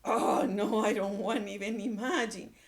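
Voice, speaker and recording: A woman's voice: medium pitch, fairly even intonation, measured pace, lightly acted. A woman voicing an unhappy male elephant from a storybook, dramatic and exasperated. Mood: dismayed.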